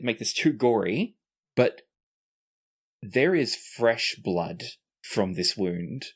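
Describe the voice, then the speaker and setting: Low-voiced man running a tabletop game. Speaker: game master. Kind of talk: narrating a tabletop fantasy game.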